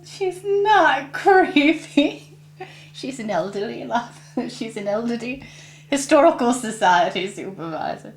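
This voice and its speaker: A woman speaks slowly and jokingly, with a very lively, swooping pitch. Her voice rings in a roomy space. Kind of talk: casual joking chat. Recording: echoey room, off to the side.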